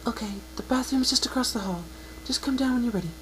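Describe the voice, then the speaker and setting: A woman voicing a shy, gentle young female pegasus pony, hesitant, polite and caring, in a fan dramatic reading. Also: fairly high pitch, fast, close to an everyday voice. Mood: caring, welcoming. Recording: noisy home recording with mains hum.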